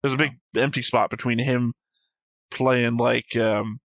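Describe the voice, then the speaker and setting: A man in casual discussion as a reaction show co-host. He has a middling pitch and argues his points with wry jokes. The sound is dry, with very little room in it. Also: fairly even intonation, measured pace, fairly bright tone, no room sound. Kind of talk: casual discussion of an animated series.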